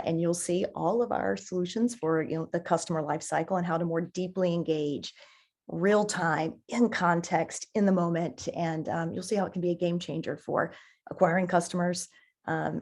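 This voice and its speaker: A woman with a fairly low, husky voice and noticeable vocal fry. She explains things as a podcast guest in an enthusiastic, conversational way, often restarting and repeating words as she works out her point. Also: steady tone, a little mellow. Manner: halting, repeats words while thinking. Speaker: podcast guest, marketing executive. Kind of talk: conversational interview answers, enthusiastic product explanation.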